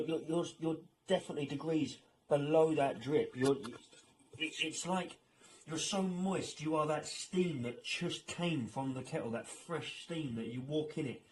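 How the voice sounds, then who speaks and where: A man's voice: on the high side, a little mellow, slight background noise, low-quality recording. A British man giving a mocking opinion rant as an online commentator, with a sarcastic, exasperated, informal manner.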